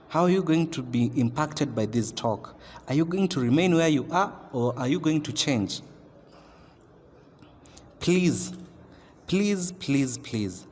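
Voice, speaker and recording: Guy playing a strict kenyan teacher telling students off, halting and emphatic, lots of reverb, bit noisy. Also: on the high side, a little mellow. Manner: admonishing, emphatic, halting delivery. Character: stern, scolding class teacher lecturing students. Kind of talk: amateur radio drama monologue.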